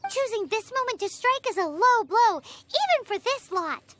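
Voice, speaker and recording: Tiny curious fairy companion, very high and bouncy, game score behind. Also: female voice, animated, moderate pace, fairly bright tone, a little hiss or hum. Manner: chirpy, speaks of herself in third person. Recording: game audio with clear music.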